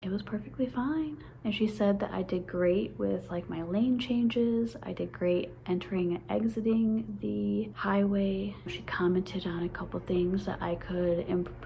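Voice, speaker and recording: A woman's voice: medium pitch, a little slow, creaky, few pauses, a little hiss or hum. Young woman who stutters, chatting. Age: 20s. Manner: stutters, with repeated sounds and restarts; excited, laughs often. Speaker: vlogger. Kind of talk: casual vlog chat.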